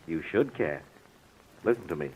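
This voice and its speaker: Insistent detective, low, deliberate, expressive swings, vintage radio drama, muffled. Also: male voice, slightly rough. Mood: accusing. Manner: pointed, deliberate, accusing. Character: insistent, suspicious, controlled police detective. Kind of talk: old-time radio drama acting. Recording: muffled old radio recording.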